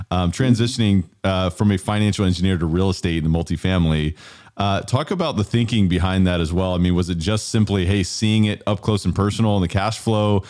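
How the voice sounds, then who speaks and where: Deep-voiced guy hosting a podcast, asks long rambly questions, excited. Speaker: podcast host. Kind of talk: interview questions.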